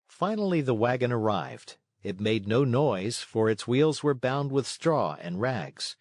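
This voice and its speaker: A man reading a children's story as scripted narration, expressive in his storytelling. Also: mid-range voice, measured pace, no room sound, studio quality.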